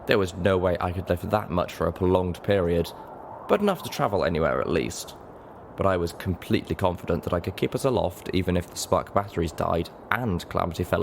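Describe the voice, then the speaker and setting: A man reading a story aloud in expressive scripted narration. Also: low-pitched, creaky, a little hiss or hum. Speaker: audiobook narrator.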